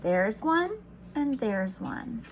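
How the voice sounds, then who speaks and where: Female children's read-aloud teacher: unhurried, lively pitch swings, encouraging and instructional, slight noise behind. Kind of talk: reading a picture book aloud to young children.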